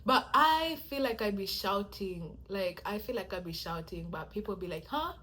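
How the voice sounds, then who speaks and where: A soft-spoken young woman, chatting casually to camera as she tells a story and acts out how people react to her. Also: lively intonation, moderate pace. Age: young adult. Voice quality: soft-spoken, low-volume voice. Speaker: vlogger.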